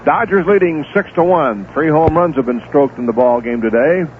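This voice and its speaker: A man reading a promotion and the score recap in a brisk, polished announcer's manner on a tinny old radio recording. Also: a little high, a little hiss or hum. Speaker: broadcast announcer. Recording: tinny lo-fi 1970s radio broadcast.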